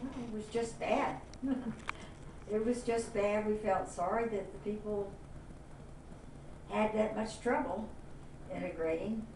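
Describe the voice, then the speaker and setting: A woman's voice: mid-range voice, slow, pauses often. An older woman from Miami, Florida, plainly recalling her youth in an oral history interview. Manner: plain-spoken, reflective. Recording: echoey room, mic at a distance, faint hiss.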